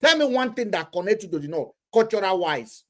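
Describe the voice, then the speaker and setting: A man with a Nigerian accent, arguing forcefully in a heated casual debate and pressing others with questions. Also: high voice, big pitch swings, fairly quick.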